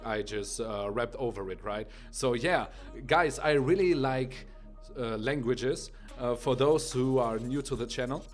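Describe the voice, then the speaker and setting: A man's voice: medium pitch, measured pace. A young man with a German accent, speaking English as a second language, hosting a casual music reaction video. He rambles with many fillers and keeps asking "right?".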